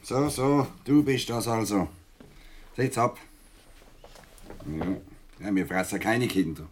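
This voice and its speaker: Radio play casting: dry, gruff Swiss cop, lowish voice, terse delivery. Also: male voice, animated, slow pace, a little hiss or hum. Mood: gruff, probing. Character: gruff, dry-humoured male Swiss policeman questioning a child.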